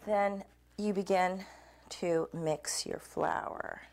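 A woman with a fairly low voice talks relaxed and chatty while she cooks, explaining each step. She speaks to the camera in a kitchen studio. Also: extremely slow, background noise. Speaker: cooking show host. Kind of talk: demonstrating a recipe to camera. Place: home kitchen set, indoors.

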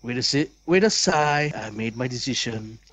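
A man's voice: medium pitch, lively intonation, normal speed, a bit bright. A young man reads a visual novel aloud as a let's play host, with dramatic expression and asides.

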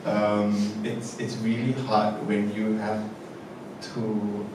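A man's voice: fairly low pitch, level tone, slow pace. Hesitant man in an echoey theatre. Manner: hesitant and reflective, many fillers and restarts. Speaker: theatre director. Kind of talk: post-show panel discussion. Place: large theatre stage. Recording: echoey theatre from a distance with noise.

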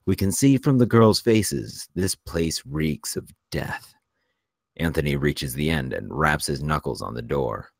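A man's voice: rumbling low, expressive, measured pace, gravelly, no room sound, studio quality. A man narrating the stage directions of a screenplay at a table read.

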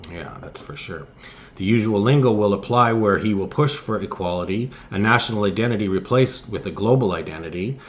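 A man reads written articles aloud as a political commentator. His voice sits on the low side. He trips over the text at times and drops in muttered remarks of his own. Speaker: host of a political commentary show.

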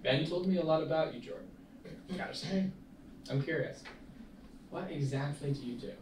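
A young man reading the part of an earnest, hesitant college student at a film table read, speaking in a halting way. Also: fairly high pitch, a little mellow, natural voice. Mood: skeptical. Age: young adult. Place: small meeting room, indoors. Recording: echoey room, distant mic, clear noise.

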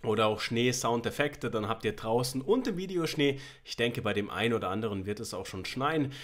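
A man's voice: mid-range voice, expressive, a little fast, a bit bright. A young man with a mid-range voice speaks casually to his online audience as a host. He sounds slightly under the weather as he chats in a small indoor room. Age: young adult. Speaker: YouTube host, horror story narrator. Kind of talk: casual intro chat to viewers.

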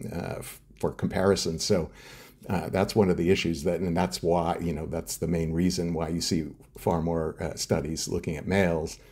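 Man, middle-aged, low-pitched, slightly husky, halting explainer, frequent fillers. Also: warm tone. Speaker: neuroscientist guest. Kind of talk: interview answers.

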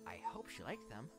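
Man voicing hesitant young pony squire, swooping pitch. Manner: hesitant, awkward. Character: nervous young male pony squire, reluctant schemer.

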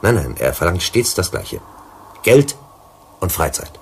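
An actor in a German radio play, playing a deliberate, probing troubleshooter who questions and lectures. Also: male voice, low voice, big pitch swings, slight background noise, full character voice. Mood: probing, insistent. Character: probing, unhurried male troubleshooter questioning colony bosses.

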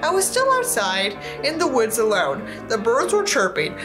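A young woman with a fairly high, very animated voice reads a book aloud. She is theatrical and sarcastic, breaking off into sweary commentary. Faint music plays behind her. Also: normal speed. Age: young adult. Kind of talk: dramatic book reading with sarcastic asides. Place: indoors, small set with tinsel backdrop.

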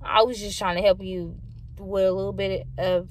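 A woman's voice: mid-range voice, level tone, moderate pace. A slightly tipsy woman vlogger chatting casually from inside a car, rambling and swearing freely. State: a little tipsy. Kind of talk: casual chat. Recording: noisy in-car recording.

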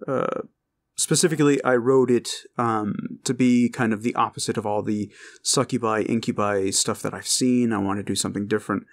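A man's voice: lowish voice, fairly even intonation, moderate pace. A man speaking informally and earnestly to his listeners as an audio creator, in a reassuring way. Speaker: audio roleplay creator and host. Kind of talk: informal heartfelt address to listeners.

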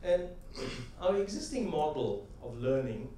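A middle-aged man giving an animated keynote talk on stage with a headset mic, telling stories and asking rhetorical questions. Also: a little high, unhurried, slight background noise. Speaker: conference speaker. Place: large conference hall stage. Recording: echoey hall, heard from a distance.

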